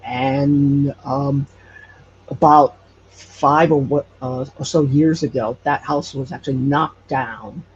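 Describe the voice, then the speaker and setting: A man telling personal stories conversationally as a podcast guest, hesitant and often pausing mid-phrase. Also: mid-range voice, steady tone. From Hawaii. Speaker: podcast guest, paranormal storyteller and investigator. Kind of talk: conversational personal storytelling in an interview. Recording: muffled lo-fi with faint background noise.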